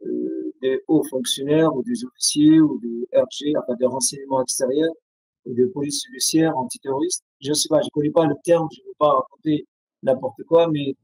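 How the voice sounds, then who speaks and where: An older man from Syria with an Arabic accent, French not his first language, telling his story in a remote interview with many pauses and restarts. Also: medium pitch, fairly even intonation, gravelly, darkish tone, slightly rough, no echo, low-quality recording. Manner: halting, many hesitations and restarts. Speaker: guest. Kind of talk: remote interview, personal account. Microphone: video call.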